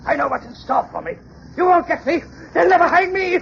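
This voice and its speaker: Give a very high, gravelly voice to a deranged villainous doctor, cornered and ranting in desperate defiance. It is old radio melodrama on a scratchy, muffled recording. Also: male voice, very animated, a little fast, exaggerated voice. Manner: ranting, taunting. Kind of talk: 1930s radio drama acting.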